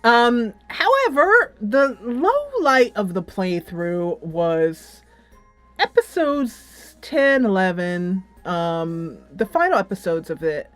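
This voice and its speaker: A middle-aged woman giving a casual, giggly video game review, animated and emphatic, drawing out words for effect. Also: medium pitch, slow pace, dry recording, faint music behind.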